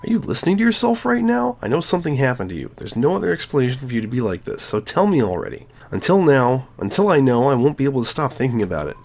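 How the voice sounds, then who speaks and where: A man's voice: medium pitch, very animated, quick pace, vocal fry, hardly any pauses, darkish tone. A man voicing an earnest, insistent teenage boy who is a worried childhood friend in a visual novel game. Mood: alarmed, insistent.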